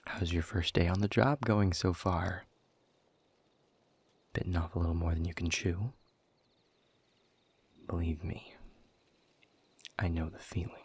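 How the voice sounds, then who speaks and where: Hopeless demon exile for audio drama, male actor: deep, very husky, grave and resigned with a sardonic edge. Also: steady tone, a little slow, long pauses, dry recording, exaggerated voice. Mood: wry. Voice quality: husky, breathy, low. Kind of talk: intimate audio roleplay acting.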